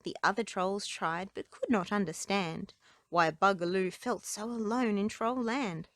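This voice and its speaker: A woman's voice: mid-range voice, measured pace, dry recording, studio quality. A woman reading children's storybooks and nursery rhymes aloud as a narrator. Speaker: children's book reader.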